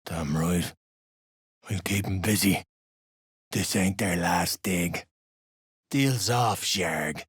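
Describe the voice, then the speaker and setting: A man playing a grim, menacing duergar dwarf thug in a video game, terse and very raspy. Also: low voice, slow pace, pauses often, a bit bright, no echo, clean studio sound, exaggerated voice. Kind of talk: video game character voice acting.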